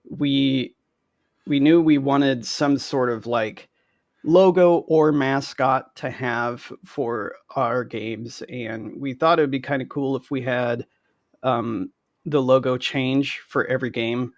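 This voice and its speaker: A man in his thirties or forties with a gravelly, creaky voice of medium pitch. He explains casually and haltingly on a dry, close-sounding call. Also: no echo. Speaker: game publisher, interview guest.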